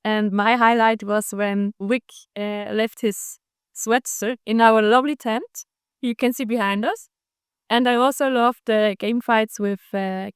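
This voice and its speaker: A woman's voice: on the high side, clear, resonant tone, no room sound, studio quality. A woman from Switzerland, answering questions about a tour in a cheerful, chatty way. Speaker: tour participant.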